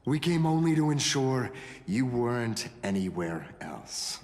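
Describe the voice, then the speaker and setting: An actor playing a smug, sly villain's henchman in a fantasy game, mocking his listener with drawn-out theatrical pauses. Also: mid-range voice, sing-song, darkish tone, long reverb tail. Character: sly, taunting male villain henchman, smugly unhurried. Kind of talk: dramatic fantasy game voice acting.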